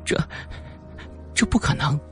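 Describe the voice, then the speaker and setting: A man's voice: a little slow, sharp tone, soft background music. A man voicing an anxious, earnest young woman in an audiobook, worried and hesitant. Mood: worried, doubtful. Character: anxious young woman, earnest older sister seeking help.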